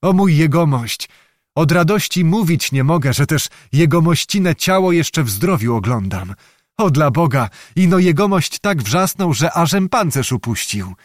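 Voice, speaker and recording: A man voicing a chatty, devoted young servant lad, talking excitedly in rustic old-fashioned speech. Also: a little high, no room sound, studio quality, voice pushed up. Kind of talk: audiobook character voice.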